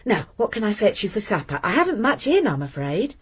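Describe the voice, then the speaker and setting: A woman's voice: mid-range voice, very animated, fairly quick, husky. An actress playing a deferential, hopeful landlady who is eager to please her new lodger. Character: anxious, respectful middle-aged landlady, hopeful. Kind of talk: radio drama acting.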